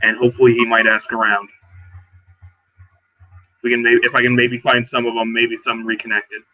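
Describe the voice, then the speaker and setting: A man playing a weary, guarded but determined werewolf survivor in a tabletop roleplay, speaking haltingly and low-key. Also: completely flat delivery, lo-fi sound, faint music behind, natural voice. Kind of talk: in-character tabletop roleplay dialogue.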